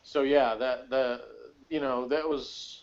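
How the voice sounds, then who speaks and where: A man's voice: mid-range voice, steady tone, slow, dull audio. A man chatting casually as co-host of a sci-fi TV review, rambling and searching aloud for details. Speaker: podcast co-host.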